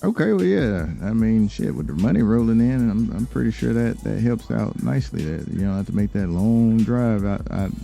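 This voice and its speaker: A man playing a calm, smooth crime-boss lawyer in an improvised game roleplay, talking in an unhurried, businesslike way with pauses. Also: fairly low pitch, faint music behind. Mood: approving. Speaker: gaming streamer playing a character.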